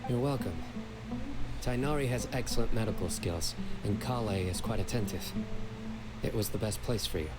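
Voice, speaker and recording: Stoic young male enforcer for a game, acted by a man: terse, measured, deadpan, music loud underneath. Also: on the low side, close to an everyday voice. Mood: matter-of-fact. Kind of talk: video game voice acting.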